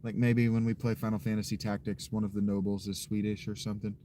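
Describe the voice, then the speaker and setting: A man's voice: lowish voice, flat delivery, fast talker, warm tone, slight background noise. A man streaming and chatting with viewers in a joking, rambling way, swearing freely. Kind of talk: casual chat.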